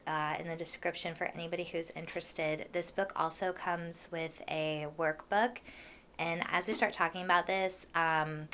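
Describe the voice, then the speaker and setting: A young woman hosting a casual podcast, chatting with a froggy, hoarse voice from allergies. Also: fairly low pitch, fairly bright tone. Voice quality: froggy, husky voice. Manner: chatty, with many fillers and laughs. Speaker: podcast host. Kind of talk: casual solo podcast chat.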